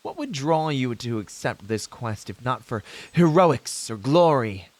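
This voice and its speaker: Man playing an earnest young hero, lively swings in pitch. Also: measured pace, no room sound, lightly acted. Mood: rousing, reassuring. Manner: formal, heroic storybook phrasing with hesitant asides. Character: earnest young male novice hero, brave, idealistic, kindly. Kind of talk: fantasy audio roleplay acting, speaking to a companion.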